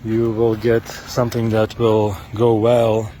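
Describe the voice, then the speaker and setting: Czech guy vlogging outside, lowish voice, noisy outdoor audio, lots of uhs. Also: monotone. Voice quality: halting, many fillers and restarts. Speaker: product tester. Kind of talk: casual vlog narration. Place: outdoors on trails and beach. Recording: outdoor noise.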